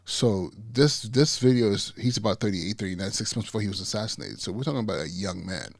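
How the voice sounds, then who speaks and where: A man's voice: fairly low pitch, fast, creaky, dry recording, clean studio sound. A man in his forties hosting a podcast, talking casually and stuttering, with frequent repeats and restarts. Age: 40s. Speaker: podcast host, school principal.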